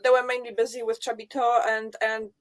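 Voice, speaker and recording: A woman with a Polish accent, hosting a casual interview and asking relaxed, curious questions. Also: on the high side, a little fast. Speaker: host.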